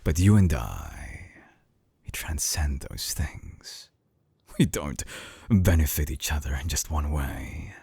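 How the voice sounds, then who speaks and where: A man performs an obsessive scientist in an audio roleplay, with an extremely low, husky, breathy voice. He speaks slowly and deliberately, half whispering right at the ear in a dry, close recording, clinical yet seductive. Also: expressive, no room sound, heavily acted. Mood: coolly reasoning. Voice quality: very deep, gravelly, breathy near-whisper. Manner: slow, hushed, deliberate, with long pauses. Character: obsessive, coolly clinical male mad scientist seducing listener. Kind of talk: intimate binaural audio roleplay. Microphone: close binaural microphone.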